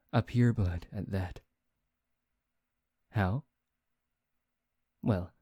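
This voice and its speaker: Guy doing a proud vampire for asmr roleplay, really dark mellow voice, slow n halting, kinda dismissive. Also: no echo. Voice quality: low, dark, soft voice. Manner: slow, halting, pausing to search for words. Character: proud, dismissive male vampire lecturing on his history.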